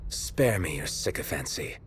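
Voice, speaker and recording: A man's voice: a bass voice, expressive, unhurried, very noisy. An actor playing a bitter, mocking villain in a video game, drawing out his taunts with contempt. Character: mocking, embittered male chancellor villain posing as prince. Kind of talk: dramatic video game voice acting. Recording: loud music over the voice.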